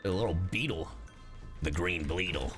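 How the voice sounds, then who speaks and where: A woman's voice: a bass voice, moderate pace. A woman streaming a game with casual, playful, goofy commentary full of exclamations. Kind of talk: casual live gameplay commentary, reading dialogue aloud. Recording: game music behind voice.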